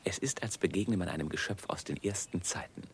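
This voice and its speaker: An actor playing an obsequious, suave courtier chevalier with formal stage diction in a German radio play. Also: male voice, low voice, fairly quick, slight background noise, natural voice. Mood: suave, deferential.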